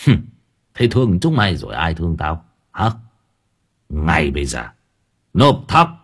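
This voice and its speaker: A man's voice: very deep voice, sing-song, measured pace, slightly rough, pitched below the performer's own voice, exaggerated voice. A man voicing a cruel, arrogant young landlord's son who growls mocking commands. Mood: stern. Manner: growling, sneering, harsh commands.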